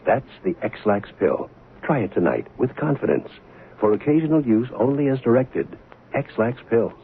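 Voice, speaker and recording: A man reading a 1970s radio commercial in a reassuring, deliberate manner. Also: medium pitch, animated. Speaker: commercial announcer. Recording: tinny old radio broadcast, faint noise.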